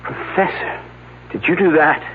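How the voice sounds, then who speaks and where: Flustered, husky young student. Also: male voice, on the high side, unhurried. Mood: earnest, defensive. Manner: hesitant, earnest, stammering. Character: naive, flustered young male archaeology student inventor. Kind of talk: 1970s radio mystery acting. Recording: band-limited, tinny old radio broadcast.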